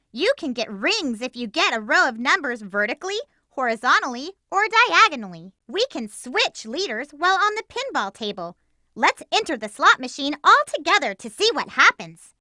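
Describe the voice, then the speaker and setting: A woman's voice: high-pitched, pushed and bright, no room sound, studio quality, heavily acted. A woman voicing a plucky, bossy young female cartoon hedgehog in a video game, with a peppy, emphatic, girlish delivery. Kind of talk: animated game voice lines.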